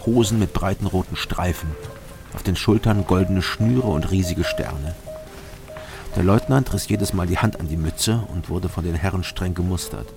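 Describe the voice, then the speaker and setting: A wry investigator in a radio crime drama, fairly low in pitch. He is dry and understated but stubborn, with music clearly behind him. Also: moderate pace. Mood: wry, reflective. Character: male civilian investigator and wry first-person narrator, stubborn. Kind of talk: radio drama narration and dialogue.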